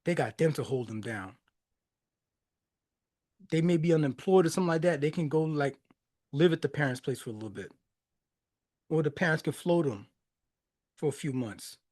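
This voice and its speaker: A man's voice: fairly high pitch, expressive, normal speed, pauses often, a little mellow. A man in his forties, born in Haiti and raised in the United States, talking casually and reflectively as a podcast host, laughing often. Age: 40s. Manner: laughs often, loose and conversational, many restarts and fillers. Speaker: music reaction podcast host.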